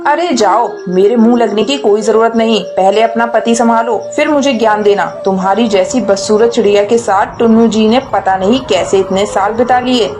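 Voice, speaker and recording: A woman's voice: a little high, normal speed, few pauses, lightly acted. A woman voicing a spiteful, scheming female sparrow in a cartoon, sneering and sly, with music behind. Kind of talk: dubbed cartoon acting.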